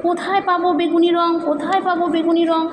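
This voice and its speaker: A woman's voice: very high voice, flat delivery, fairly quick, a crowd in the background. A woman voicing a small, eager storybook butterfly that longs for colours, exclaiming and repeating itself wishfully. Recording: echoey reverberant room with background noise.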